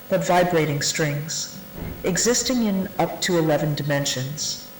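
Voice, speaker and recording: A formal instructor voiced by an actor briefs new personnel in an analog horror training tape. The delivery is deliberate and matter-of-fact, with a quietly ominous weight and a mid-pitched voice. It sounds like a nineties VHS recording, with clear room echo and a light layer of noise. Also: natural voice. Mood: grave, explanatory. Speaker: narrator of a classified orientation briefing. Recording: roomy, noisy 1990s VHS-style tape sound.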